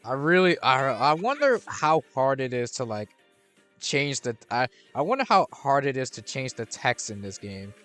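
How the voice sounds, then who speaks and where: A man's voice: mid-range voice, sing-song, moderate pace, a bit bright, no room sound, soft background music. A young man streaming a video game, chatting casually and rambling with restarts and fillers. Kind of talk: casual gameplay commentary.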